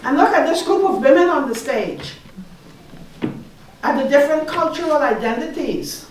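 A middle-aged woman from the Bahamas with a Bahamian accent, leading a slow, soothing guided meditation on stage as a panel artist. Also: high voice, lively intonation, a little hiss or hum. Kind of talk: guided meditation address to an audience. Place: large hall, on stage. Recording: echoey hall from far off.

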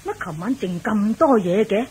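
Good-humoured nosy auntie played by a woman, Hong Kong Cantonese; very lively intonation, exclaiming, faint recording noise. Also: mid-range voice, moderate pace, natural voice. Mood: curious, surprised. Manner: exclaiming, gossipy, colloquial. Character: good-humoured, nosy middle-aged working-class auntie. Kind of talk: Cantonese radio drama acting. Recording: noisy old radio drama recording.

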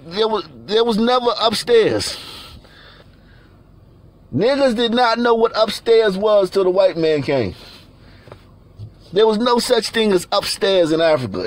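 A man from Nigeria giving blunt, profane, repetitive commentary over pictures, often laughing between points. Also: sits high.

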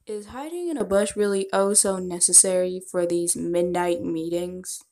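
A woman voicing a lovestruck, teasing male wizard of darkness in a fan dub, with soft, unhurried endearments. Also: fairly low pitch, close to an everyday voice. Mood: teasing, affectionate.

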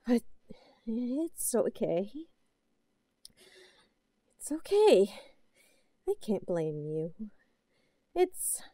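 A woman playing an obsessive, possessive yandere young woman in a roleplay audio drama, cooing and halting, sweetly unhinged. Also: medium pitch, dry recording. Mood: obsessed, wounded. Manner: cooing, halting, breathy asides.

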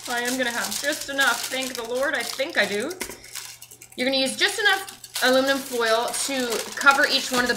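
A young woman giving a casual, chatty cooking demonstration to camera, thinking aloud as she works. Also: a little high. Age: young adult. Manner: chatty, informal, thinking aloud while working. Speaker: cooking show host. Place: indoor home kitchen. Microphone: camera mic a few feet away. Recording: roomy, echoey, noisy kitchen recording.

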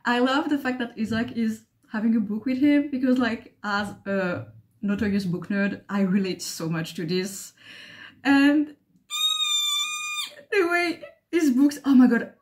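A woman's voice: mid-range voice, expressive, unhurried, darkish tone. A young woman, not a native English speaker, gushing and swearing through her reaction at home, recorded by webcam. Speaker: trailer reactor. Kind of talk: excited reaction commentary. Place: living room with bookshelves. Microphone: webcam at desk, headphones on.

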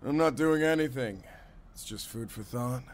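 A man's voice: medium pitch, moderate pace, close to an everyday voice. Man acting a cocky, taunting kidnapper henchman, some background noise. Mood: mocking. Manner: mocking, condescending, swaggering. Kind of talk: audio roleplay acting.

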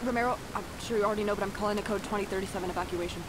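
A woman's voice: medium pitch, steady tone, very fast, close to an everyday voice. A woman playing a decisive, protective commander in a science fiction audio drama. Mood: commanding. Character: decisive, protective female commander in sci-fi emergency. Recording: loud rain and storm noise.

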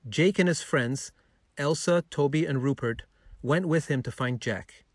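A man's voice: a little high, unhurried, no echo, studio quality. A man narrating a scripted bedtime story for children as a storyteller.

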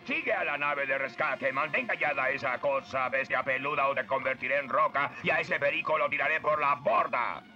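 A man's voice: mid-range voice, fairly quick, hardly any pauses, sharp tone. A man with a Latin American accent voicing a bossy, short-tempered skeletal villain sorcerer in a cartoon dub, commanding and insulting. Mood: angry, commanding. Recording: muffled old cartoon dub, music behind.

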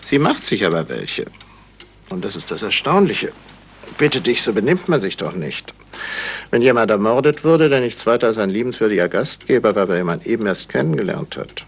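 An actor playing a thoughtful, suspicious amateur sleuth in a deliberate 1970s German radio crime drama style. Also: male voice, on the low side, very animated, creaky. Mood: pondering. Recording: hissy old radio play recording.

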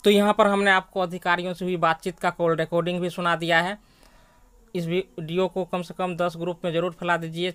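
A man's voice: high-pitched, a bit bright, smooth. A man narrating an education news video as the channel host.

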